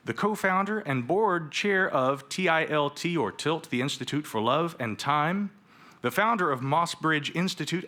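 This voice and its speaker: Conference host, male, bright and forceful, reads a bio steadily. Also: medium pitch. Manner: measured, reading a bio. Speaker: conference host introducing a speaker. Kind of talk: formal speaker introduction.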